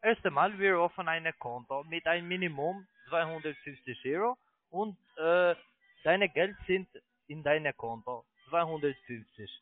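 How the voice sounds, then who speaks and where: A man with a foreign accent, not a native speaker, making a halting sales pitch over the phone in broken sentences with repeated restarts. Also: dry recording. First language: not German. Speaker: phone sales agent, investment scam caller. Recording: tinny narrow phone line.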